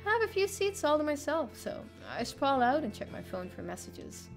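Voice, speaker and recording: A young woman streamer reading a visual novel aloud in an expressive, theatrical first-person narration. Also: high voice, moderate pace. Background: soft game music.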